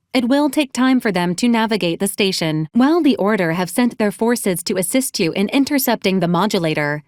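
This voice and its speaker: A woman voicing a polite, literal-minded female robot assistant in a video game, speaking in a formal, clipped, deadpan and precise way. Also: mid-range voice, dry recording, clean studio sound, close to an everyday voice. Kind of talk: scripted video game voice lines.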